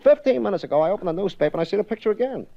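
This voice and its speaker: Rambling cabbie, high lively voice, old radio play. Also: male voice, rapid-fire, gravelly, dry recording. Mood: chatty. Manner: rambling, chatty, streetwise. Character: fast-talking male cab driver, rambling. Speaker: cab company representative. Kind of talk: 1950s radio drama acting. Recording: dull old radio broadcast.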